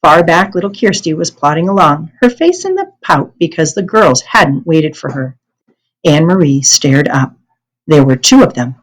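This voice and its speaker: Woman, American accent, slightly husky, lively intonation, careful storybook reader, occasional stumbles. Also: sits low, normal speed, poor audio. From United States. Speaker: teacher reading aloud to a class. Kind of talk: storybook read-aloud.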